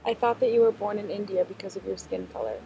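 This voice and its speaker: Woman narrator, quick matter-of-fact delivery, faint music bed. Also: mid-range voice, creaky, rough recording. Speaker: interviewer voicing her assumptions. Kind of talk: scripted documentary narration.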